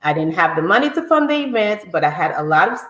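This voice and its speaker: A woman's voice: lowish voice, sing-song, fast, a bit bright, echoey room. A woman raised in Guyana, hosting a podcast and telling her story in an earnest, conversational way. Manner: earnest, storytelling, some restarts. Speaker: podcast host. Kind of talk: casual conversational podcast talk.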